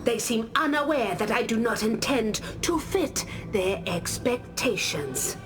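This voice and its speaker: Aloof scholarly warrior spirit, game monologue, high female voice, unhurried and deliberate, music behind. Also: lively intonation. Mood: guarded, reflective. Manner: measured, reflective, deliberate storytelling. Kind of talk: scripted game character monologue.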